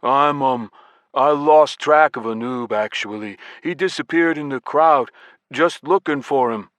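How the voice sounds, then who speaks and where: A young man covering for himself in a fantasy audiobook, as acted by a male narrator. His speech is offhand and vague, with hesitations, in a bright voice a little above a low natural register. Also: measured pace, no room sound, lightly acted. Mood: evasive, hesitant. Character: young man bluffing as someone else, casual, evasive.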